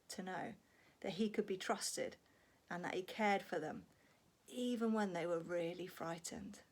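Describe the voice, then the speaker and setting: A woman telling a story to young children in an animated, expressive way, prompting with questions and acting out sound effects. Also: medium pitch.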